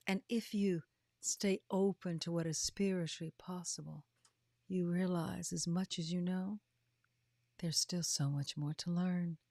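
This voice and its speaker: A woman in her fifties or older giving a motivational talk as a host, with emphatic, drawn-out delivery that often drops into breathy, hushed phrases. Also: on the low side, fairly even intonation, no room sound, clean studio sound. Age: 50s or older. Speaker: podcast host and coach. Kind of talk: motivational livestream talk to followers.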